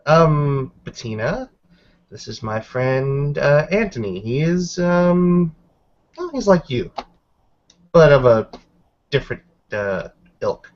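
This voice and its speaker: A man voicing a cautious, questioning woman in a tabletop roleplaying game, speaking in a measured, hesitant way. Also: fairly high pitch, expressive, lo-fi sound. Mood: polite.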